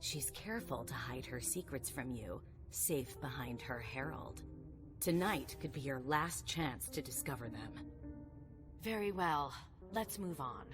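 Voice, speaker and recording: A woman's voice: extremely low pitch, animated, a little slow. A woman playing a cynical, protective female vampire sire in a video game, with a dry, sardonic, emphatic delivery. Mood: wary, warning. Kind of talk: video game cutscene dialogue acting. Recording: game music underneath. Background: background music.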